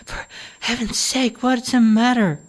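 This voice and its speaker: A man's voice: very high-pitched, fairly even intonation, measured pace, voice pushed up. A man voicing a patient, weary grown daughter in a storybook reading, soothing and exasperated by turns.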